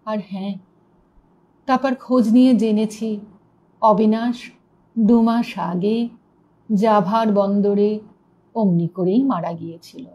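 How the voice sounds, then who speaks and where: A woman storyteller reading a ghost story aloud in an expressive, suspenseful narrating manner. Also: mid-range voice, slow pace, pauses often, dull audio.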